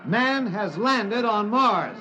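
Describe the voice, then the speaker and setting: An actor playing an excitable, driven newsman in a 1950s radio drama, speaking urgently and emphatically. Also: high-pitched, slow pace, noisy background. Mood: excited reporting. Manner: urgent, emphatic, stammering when flustered. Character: excitable male radio newsman, driven, turning wearily resigned. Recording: dull, roomy 1950s radio recording.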